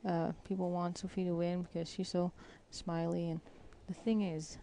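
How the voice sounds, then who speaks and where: Female lead analyst, low gravelly voice, quiet and measured, faint crowd noise. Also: little pitch movement. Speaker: bowling commentator. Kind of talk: live sports commentary. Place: bowling arena with crowd.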